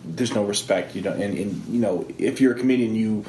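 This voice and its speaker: A man's voice: on the low side, a little fast, dark tone, a little hiss or hum. A man hosting a podcast in casual chat, easygoing, laughing often and restarting his sentences. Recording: roomy echo with faint background ambience.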